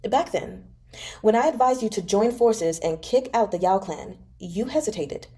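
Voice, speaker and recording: Woman reading a composed, calculating male adviser, mellow tone. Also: medium pitch, lively intonation, close to an everyday voice. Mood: coolly reproachful. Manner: measured, reasoning, unruffled. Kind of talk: dramatic novel read-aloud.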